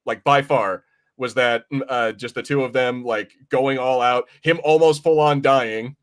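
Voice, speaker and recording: A man's voice: mid-range voice, steady tone, measured pace, clean tone. A man hosting a podcast, chatting casually about films in an informal, slangy way with some mild swearing. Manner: informal, slangy, mildly profane. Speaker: podcast host. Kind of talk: casual film review chat.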